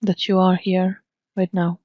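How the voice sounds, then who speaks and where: A woman guides a mindfulness meditation, speaking very slowly and leaving long pauses between phrases. Her pitch stays flat and even as she gently instructs. The recording is dry and slightly dull. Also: dry recording.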